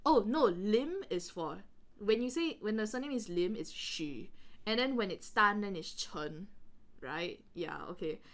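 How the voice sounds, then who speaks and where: A Singaporean woman talks casually with a friend on a podcast. Her medium-pitched voice swings up and down freely. She rambles in a giggly, exclamatory way as she comments. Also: lively intonation, a little slow. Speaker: podcast co-host reading and commenting.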